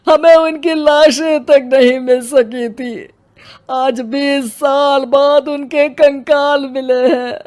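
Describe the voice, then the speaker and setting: A woman acts as an elderly village headman in a cartoon story, a bereaved father. The voice is high-pitched and very slow, with a plaintive, sorrowful manner. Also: steady tone, darkish tone. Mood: tearful grief. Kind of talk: Hindi cartoon story dialogue.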